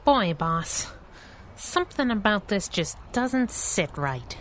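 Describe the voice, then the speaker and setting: An actress playing a cheeky, wisecracking young superhero sidekick with slangy, punchy delivery in a 1930s style radio drama. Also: female voice, mid-range voice, sing-song, takes it slowly, loud music behind the voice. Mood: sassy, teasing.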